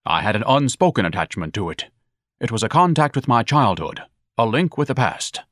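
A man's voice: medium pitch, sing-song, moderate pace, fairly bright tone, no room sound, studio quality. A man voicing a pompous, overeducated grown son with a grandiloquent, theatrical manner and formal diction. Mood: aggrieved, lofty.

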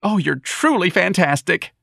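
Brief: narrator doing a catty young dandy, high and swooping, dry close recording. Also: male voice, expressive, moderate pace, fairly bright tone, no echo, lightly acted. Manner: campy, mocking, shrieking. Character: flamboyant catty young man, gleeful and teasing.

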